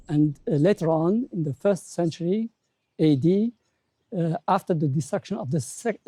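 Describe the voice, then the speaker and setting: A male history professor explaining the past in a measured way, often pausing and correcting himself. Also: on the high side, mellow, low-quality recording. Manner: measured, hesitant, self-correcting. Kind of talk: explanatory interview answers.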